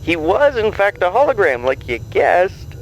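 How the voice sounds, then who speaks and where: A man's voice: high voice, sing-song, measured pace, slight background noise, exaggerated voice, poor audio. A man playing a suavely menacing game show boss in an audio drama, theatrical, condescending and mock-polite. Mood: amused evasion.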